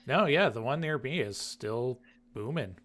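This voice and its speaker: A man's voice: mid-range voice, expressive, a little slow, a bit bright. A man from the United States co-hosting a podcast in casual, conversational chat. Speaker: podcast co-host.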